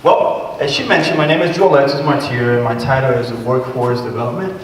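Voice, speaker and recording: A man's voice: medium pitch, fairly quick. A man from Puerto Rico, a guest speaker on workforce development, giving an earnest, personal talk to a training group. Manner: personal, earnest, walks around while talking. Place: large echoey meeting room. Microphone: room mic from a distance. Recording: echoey hall, distant mic, faint noise.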